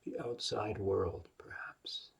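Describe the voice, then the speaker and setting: Slow, husky man guiding meditation. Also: on the low side, steady tone, whispered, highs cut off. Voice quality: husky, breathy, gravelly. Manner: gentle, unhurried, long pauses. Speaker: yoga nidra meditation teacher. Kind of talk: guided meditation.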